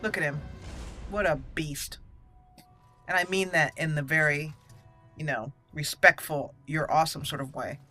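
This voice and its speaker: A woman giving casual, playful gameplay commentary with animated reactions, now and then reading game text aloud. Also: deep voice, a little slow, pauses often, soft background music. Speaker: host of a game roleplay channel.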